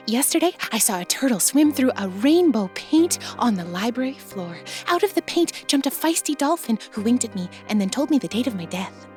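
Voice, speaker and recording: A woman plays a dreamy, earnest little librarian with a highish, very lilting voice. Her diction is quaint and formal, her manner sweet and matter-of-fact, in an audio drama with faint music. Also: big pitch swings, brisk, hardly any pauses, a little mellow.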